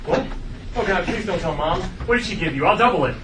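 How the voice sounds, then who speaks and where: An actor plays an anxious young boy in a sitcom read, full of flustered questions. The delivery is lively in pitch. Loud noise and echo surround it. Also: fairly quick, natural voice. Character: flustered, pleading eleven-year-old boy. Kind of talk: comedy script table read. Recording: distant, echoey room, very noisy.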